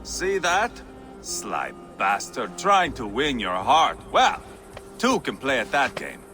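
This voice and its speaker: A sly, mocking Viking raider from a video game. He drawls slowly in a sharp, sardonic voice. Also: medium pitch, huge pitch swings, faint music behind, full character voice. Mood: sly, teasing. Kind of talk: video game cutscene acting.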